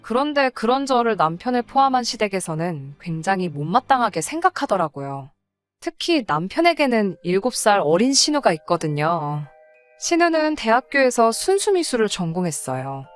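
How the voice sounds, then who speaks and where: A woman's voice: medium pitch, very animated, normal speed, faint music behind. A woman reading a scripted first-person personal story as a narrator.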